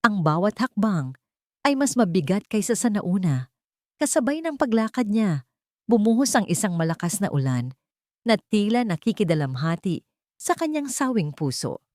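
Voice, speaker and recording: A woman narrating a scripted dramatic story in a solemn, emotive, measured way. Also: medium pitch, sing-song, no echo, studio quality.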